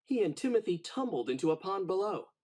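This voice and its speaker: A man reads a children's story aloud with theatrical expression. His voice sits fairly high and swings widely in pitch, with a slightly husky, breathy edge. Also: brisk. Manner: animated, dramatic storytelling. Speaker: storybook narrator.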